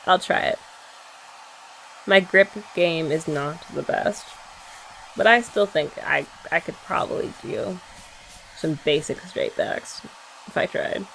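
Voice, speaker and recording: A woman's voice: deep voice, slow, gravelly, long pauses, a bit bright, a little hiss or hum, low-quality recording. A young woman in an ASMR girlfriend roleplay, chatting in a playful, teasing way.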